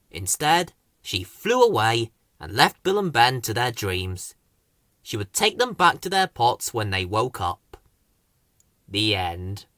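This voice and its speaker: A woman narrating a children's story expressively, with deliberate, dramatic phrasing. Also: a bass voice, a bit bright, no room sound, clean studio sound. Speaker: storybook reader.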